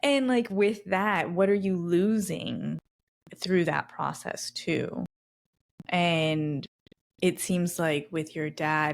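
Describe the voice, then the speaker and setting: Woman podcast host, low voice, gravelly edge, reflective, thinks aloud, conversational. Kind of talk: conversational interview.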